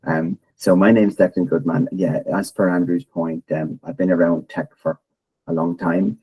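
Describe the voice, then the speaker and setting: A man's voice: low voice, little pitch movement, normal speed, dark tone, slightly rough, poor audio. A middle-aged Irish man giving a chatty, self-deprecating presentation over a video call. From Ireland. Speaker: guest presenter.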